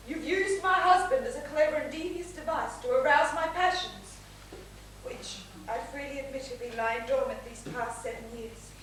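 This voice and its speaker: A woman's voice: sits high, a bit bright. A woman playing an anguished married lady torn by secret passion in a stage period comedy, with melodramatic pleading delivery. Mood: agitated confession. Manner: melodramatic, breathless pleading, theatrical. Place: theatre stage, recorded from the audience. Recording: echoey theatre stage, distant mic, faint hiss.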